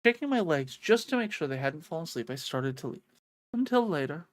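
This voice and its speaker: Game streamer, animated mid-pitched voice, dramatic narration and sweary asides, very dry sound. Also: brisk, dry recording. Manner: expressive, excitable, sweary. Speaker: streamer playing and reading a visual novel aloud. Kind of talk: dramatic read-aloud with casual profane reactions.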